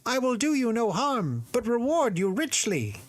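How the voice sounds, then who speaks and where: A man's voice: extremely high pitch, huge pitch swings, a little slow, no room sound, pitched above the performer's own voice, heavily acted. A man voicing a giant male spirit from a bottle, making grand promises. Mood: promising.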